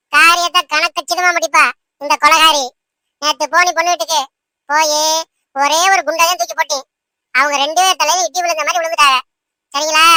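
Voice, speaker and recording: A woman's voice: extremely high pitch, bright, forceful tone, no room sound, heavily acted. A woman voicing a boastful, malicious village schemer in a cartoon, emphatic and self-dramatizing. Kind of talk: amateur cartoon dialogue in colloquial speech.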